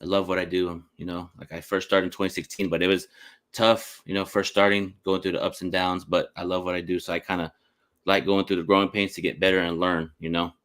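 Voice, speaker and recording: Male podcast host, low and fairly level delivery, quick, informal and slangy, casual sports interview. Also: little pitch movement, fairly bright tone. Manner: informal, slangy, repeats "man".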